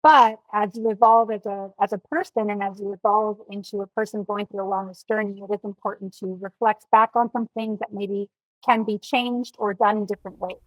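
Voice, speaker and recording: A woman's voice: medium pitch, fairly even intonation, a little fast, no room sound, rough recording. A woman from Miami, a nutrition coach guesting on a podcast, speaking earnestly and reflectively with many you-knows and ums. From Miami, United States. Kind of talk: podcast interview.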